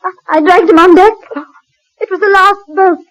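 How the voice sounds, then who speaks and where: An actress playing an overwrought, emotional shipwreck survivor in a 1940s radio drama, halting and dramatic. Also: female voice, very high-pitched, fairly even intonation, fairly bright tone, low-quality recording. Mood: distraught.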